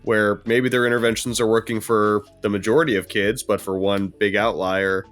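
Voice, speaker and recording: A man talking casually as a podcast panelist, phrasing things in a halting, hesitant way. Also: on the low side, fairly even intonation, a bit bright, soft background music. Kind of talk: casual discussion and case role-play.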